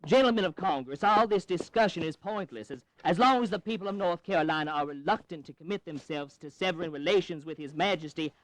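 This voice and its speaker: A man playing a pompous, higher-pitched congressman. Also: quick pace, few pauses, dry recording, lightly acted, poor audio. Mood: pompous, objecting. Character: pompous, obstructive North Carolina congressman. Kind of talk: historical audio drama acting.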